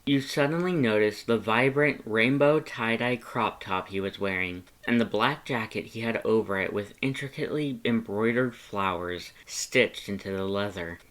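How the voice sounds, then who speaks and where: A man's voice: on the low side, normal speed, hardly any pauses, a bit bright, rough recording. A man reading scripted second-person fan fiction as a storyteller.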